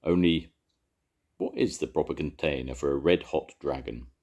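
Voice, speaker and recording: A man's voice: very deep voice, moderate pace, darkish tone, dull audio. A man voicing a fussy, rule-bound male station master who talks in a pompous, officious way in a storybook. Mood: puzzled.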